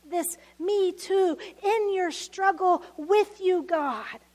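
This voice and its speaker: A woman with a Texas accent preaching in a very high voice. She talks slowly and earnestly, leaning hard on key words. At times her voice sinks almost to a whisper. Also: a little mellow. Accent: Texan. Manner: earnest, emphatic, drops to near-whispers. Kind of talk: sermon.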